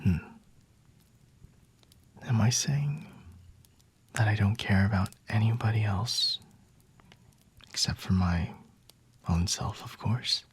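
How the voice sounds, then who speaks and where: Male actor as aloof, seductive vampire for ASMR roleplay: very breathy, unhurried, dry close-mic whisper, intimate and teasing. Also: lowish voice, animated, no room sound, heavily acted. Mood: intimate, flirty. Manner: slow, hushed, haughty and seductive, with lingering pauses. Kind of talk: ASMR roleplay, scripted monologue to the listener. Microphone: close-up microphone.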